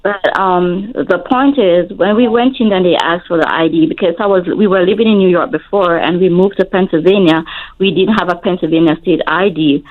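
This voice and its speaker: Female caller, low voice, worried, phone line. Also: a little fast. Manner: worried, gets straight to the point. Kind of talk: phoning in a legal question.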